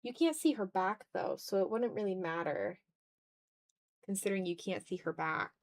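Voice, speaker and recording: A woman's voice: mid-range voice, moderate pace. Self-deprecating woman chatting on an art stream. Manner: self-deprecating, thinking aloud. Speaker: art livestream host. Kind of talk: casual chat while drawing on a livestream.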